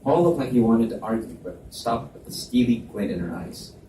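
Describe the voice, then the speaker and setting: A man's voice: mid-range voice, expressive, moderate pace, dark tone. A man reading scripted romance fiction aloud as the narrator at a live book reading. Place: library event space, indoors. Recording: echoey room, distant mic, faint noise.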